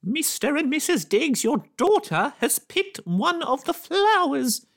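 Man doing a shrill, frantic old neighbour lady. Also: very animated, measured pace, vocal fry, a bit bright, heavily acted. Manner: shrill and agitated. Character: frantic fussy older woman neighbour.

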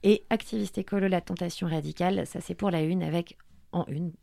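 A woman's voice: on the low side, fairly even intonation, fairly quick, gravelly, dark tone, no room sound, studio quality. A woman radio host, presenting and interviewing in a playful, wry way.

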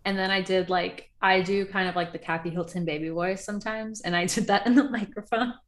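A woman's voice: mid-range voice, fairly quick. Chatty young woman, laughing and cursing. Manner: animated, laughs often, swears freely. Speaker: podcast co-host. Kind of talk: casual reality TV recap chat.

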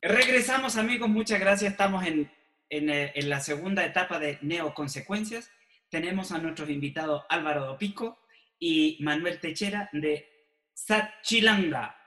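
Male interview host, high sharp voice, informal, roomy echo. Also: animated, normal speed, faint music behind. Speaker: show host. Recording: echoey room.

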